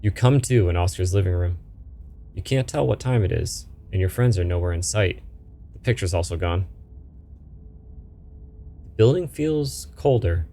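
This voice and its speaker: A man's voice: low voice, vocal fry, mellow, slight background noise. A man reading a story game aloud as narration, with game music behind him. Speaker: video game player reading a story game aloud, voicing the characters.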